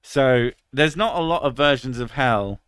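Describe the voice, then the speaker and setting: A man's voice: mid-range voice, measured pace, fairly bright tone, smooth, no room sound. A British man in his thirties chatting casually on a stream, crude and sarcastic, swearing freely. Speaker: streamer reading chat. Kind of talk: casual live stream chat.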